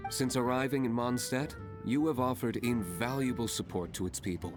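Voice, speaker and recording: A man's voice: medium pitch, moderate pace, over music, lightly acted. A man voicing a curt, serious young male tavern owner in a video game dub, terse and dry.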